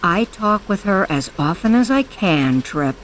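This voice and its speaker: A woman's voice: mid-range voice, very animated, measured pace, slight background noise, poor audio. An actress playing a guarded, stern woman hiding from her past in an audio drama, speaking tersely and firmly.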